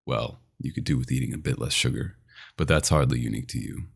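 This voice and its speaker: A man playing a dominant, possessive vampire doctor in an ASMR roleplay, intimate and teasing with a smooth menace. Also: fast, exaggerated voice. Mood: polite, coy. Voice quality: very deep, gravelly.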